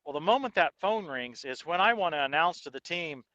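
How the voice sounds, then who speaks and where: Male reviewer, bright forceful tone, casual and explanatory, dry close headset mic. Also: on the high side, expressive, fairly quick, no room sound, muffled recording. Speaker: host and product reviewer. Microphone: intercom headset microphone.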